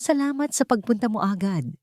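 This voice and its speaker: A woman's voice: medium pitch, lively intonation, brisk, darkish tone, dry recording, clean studio sound, pitched above the performer's own voice, natural voice. Woman voicing a grave, direct male investigator. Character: serious, direct male investigator breaking hard news.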